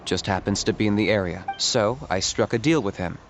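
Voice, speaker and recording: An actor voicing a reserved young man who speaks calmly and to the point. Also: fairly low pitch, fairly quick, slight background noise, close to an everyday voice. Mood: explaining. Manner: calm, matter-of-fact. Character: calm, reserved young man, blunt and businesslike.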